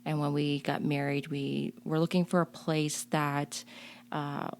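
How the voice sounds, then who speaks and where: A woman's voice: low-pitched, little pitch movement, measured pace, darkish tone, a little hiss or hum. A woman raised in Chicago, speaking earnestly and reflectively as an interview guest, often restarting her sentences. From born in a refugee camp on the Cambodia-Thailand border, raised in Chicago. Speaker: guest, community leader. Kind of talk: interview conversation.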